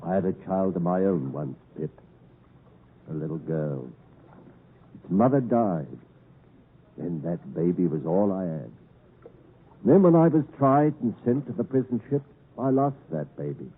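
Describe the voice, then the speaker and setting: An actor playing a rough, doting old escaped convict in a 1950s radio drama, with a husky, sentimental delivery. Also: male voice, sits low, animated, slight background noise. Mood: mournful. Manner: sentimental, drawn-out fond remarks. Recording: muffled 1950s radio broadcast.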